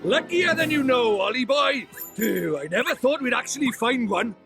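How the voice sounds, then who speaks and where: An actor voicing a small, cheeky, bossy fairy sidekick with a Welsh accent in a fantasy game. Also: male voice, very high-pitched, animated, moderate pace, creaky, a bit bright, faint music behind, heavily acted. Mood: delighted. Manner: brash, teasing, colloquial, theatrical. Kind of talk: animated fantasy game voice acting.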